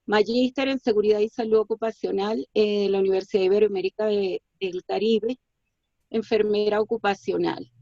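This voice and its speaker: A woman's voice: mid-range voice, fairly even intonation, normal speed, creaky, mellow, dry recording, muffled recording. A woman from Colombia giving a professional webinar talk as a quality auditor, explaining steadily with frequent hesitations. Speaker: quality management consultant and auditor. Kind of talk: webinar presentation.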